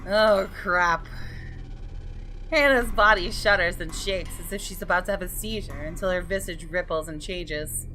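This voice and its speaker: Lower-pitched woman narrating over music. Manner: expressive, story-reading delivery. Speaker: gaming channel host. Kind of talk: dramatic scripted narration of a visual novel. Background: game music.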